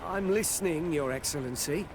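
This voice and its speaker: A man's voice: a little high, normal speed, natural voice. A man playing an evasive, beleaguered customs officer in a video game, hesitant, stammering and deferential. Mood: guarded, nervous. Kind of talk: video game cutscene acting. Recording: noisy outdoor ambience behind the voice.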